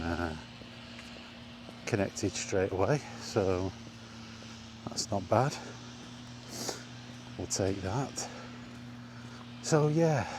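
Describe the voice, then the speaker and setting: A man's voice: deep voice, noisy background. A man vlogging casually about gaming in a rambling, plain-spoken way while out walking, recorded on a small wireless mic.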